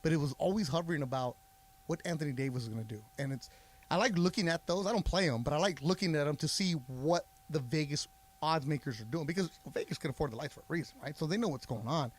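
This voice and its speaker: A man's voice: fairly high pitch, quick pace, breathy edge. A man co-hosting a sports talk radio show, explaining at length with a confident, conversational delivery. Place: radio studio. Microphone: studio mic.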